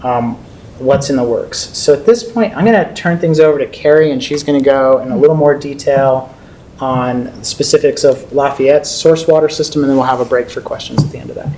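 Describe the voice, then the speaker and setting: A man from the city's water staff briefs a council workshop. His voice is mellow and his delivery is measured and explanatory, with frequent ums. A faint rumble sits under the recording. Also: poor audio. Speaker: city water staff presenter.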